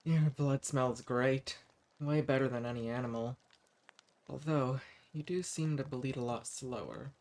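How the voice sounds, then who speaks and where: A man's voice: medium pitch, a little mellow. A man playing a centuries-old vampire in an audio roleplay, speaking straight to the listener with condescending, taunting menace. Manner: condescending pet names, taunting, unhurried menace. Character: centuries-old sadistic male vampire, coolly mocking and possessive. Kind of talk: scripted audio roleplay spoken directly to the listener.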